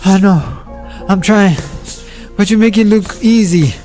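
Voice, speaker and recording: Young hot-headed boxer for story drama, played by a man: higher voice, excitable, sincere, over music. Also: unhurried. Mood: earnest, eager. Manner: earnest, excitable. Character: eager, hot-headed teenage boy boxer, the younger friend.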